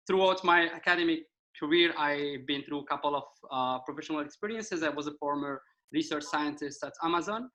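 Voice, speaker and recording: A man's voice: mid-range voice, a bit bright, low-quality recording. A young man from Morocco speaking as a guest on a radio talk show, precise and full of hesitations. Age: young adult. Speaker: guest, PhD graduate. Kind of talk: casual chat.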